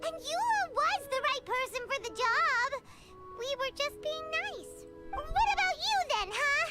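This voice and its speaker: Tiny cheeky fairy sidekick: extremely high, squeaky, very animated, game music loud underneath. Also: female voice, measured pace. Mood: indignant. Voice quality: squeaky, childlike. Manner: refers to self in third person, exclamatory. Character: tiny childlike floating fairy companion, cheeky, excitable, indignant. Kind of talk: anime video game voice acting.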